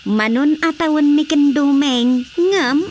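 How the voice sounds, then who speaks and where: A woman's voice: sits high, takes it slowly. A woman playing a blunt, teasing, childless village wife in an Ilocano radio drama comedy, with an Ilocano accent. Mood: plaintive.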